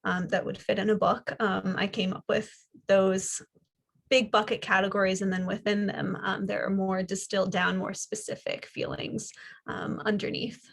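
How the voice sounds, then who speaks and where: Gravelly thirty-year-old woman on a video call. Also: medium pitch, flat delivery, moderate pace. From Kansas City, US. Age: 30s. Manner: earnest and chatty, with frequent fillers. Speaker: author and baker. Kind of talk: video-call interview answers. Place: home living room.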